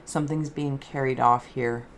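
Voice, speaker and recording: A woman hosting an improvised spiritual card and scrying reading, soft-spoken, with a dreamy, meandering, alliterative way of talking. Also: rumbling low, steady tone, moderate pace, a little hiss or hum. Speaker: host, tarot and scrying reader.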